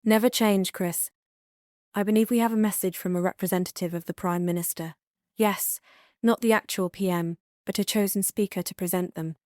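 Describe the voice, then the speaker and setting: A woman's voice: mid-range voice, fairly even intonation, moderate pace, darkish tone, dry recording, studio quality, natural voice. A woman playing a composed, reassuring TV news anchor in a disaster drama, talking conversationally as if live on air. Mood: wry, businesslike. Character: composed female TV news anchor during a catastrophe.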